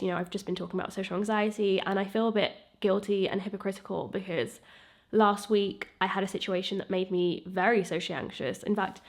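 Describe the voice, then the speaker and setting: Nasal-sounding woman with a cold. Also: medium pitch. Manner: candid, rambling, self-reflective. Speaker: YouTuber. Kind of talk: casual vlog talk to camera.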